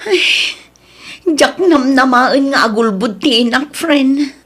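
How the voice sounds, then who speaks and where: Radio drama daughter, played by a woman: high-pitched, accusing, anguished, Ilocano Filipino accent. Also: slow, fairly bright tone, husky, full character voice. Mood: regretful. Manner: wailing, reproachful. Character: betrayed adult daughter back from working abroad, anguished, accusing.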